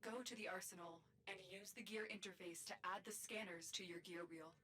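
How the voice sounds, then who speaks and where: An actress playing a calm, instructive female mission guide, heard in game audio. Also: mid-range voice, fairly quick, slight background noise, close to an everyday voice. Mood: steady. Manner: level, instructive. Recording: game audio over loud music.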